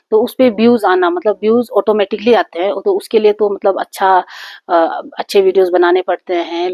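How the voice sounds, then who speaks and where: A woman talks casually to her camera as a vlogger, rambling along with plenty of fillers and restarts. Her voice sits at an ordinary middle pitch, a little rough from a lingering cold. The recording is dry, with no room echo. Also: dull audio. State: sore throat from a cold. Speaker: YouTube vlogger. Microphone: phone.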